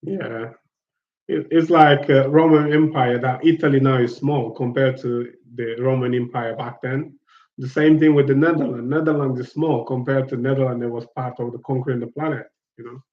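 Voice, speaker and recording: A man's voice: mid-range voice, fairly even intonation, moderate pace. A man from Guinea-Bissau, hosting a casual chat, talks in a hesitant and reflective way, often repeating himself as he gathers his thoughts. His voice sits in the middle of the usual range for a man. The audio is dull and lo-fi, with a roomy echo around him. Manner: hesitant and reflective, repeats words while searching for them.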